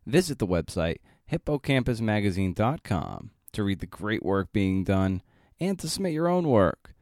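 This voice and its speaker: A man's voice: on the low side, very animated, moderate pace, darkish tone, dry recording, studio quality. A man hosting a podcast, talking informally and chattily with playful asides. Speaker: podcast host and interviewer. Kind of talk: podcast intro and casual interview.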